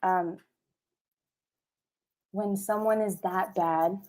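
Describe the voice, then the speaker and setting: An actress playing a measured, questioning woman in a streamed one-act play, speaking in a deliberate, halting way. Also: mid-range voice, steady tone, a little mellow, poor audio. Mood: grave, pointed. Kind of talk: stage play acting over video stream.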